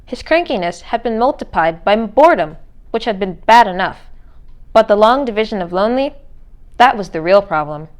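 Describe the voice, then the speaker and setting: A woman's voice: medium pitch, lively intonation, normal speed. A woman reading a children's storybook aloud as narrator. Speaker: read-aloud reader.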